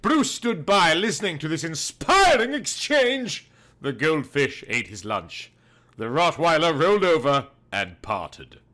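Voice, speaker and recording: High-pitched, theatrical male storyteller. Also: very animated, measured pace, bright, forceful tone. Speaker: narrator of a comic detective story. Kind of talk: scripted, theatrical audiobook narration.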